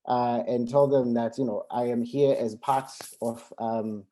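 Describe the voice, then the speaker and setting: A man's voice: mid-range voice, fairly even intonation, unhurried, dark tone. A man speaking on an academic panel about his fieldwork, hesitant, with many fillers and restarts.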